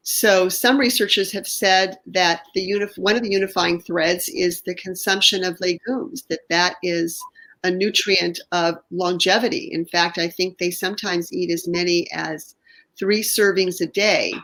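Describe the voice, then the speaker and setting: A woman's voice: fairly low pitch, steady tone, measured pace, fairly bright tone, rough recording. A woman hosting an online interview show, chatty and enthusiastic, restarting her sentences often. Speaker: show host.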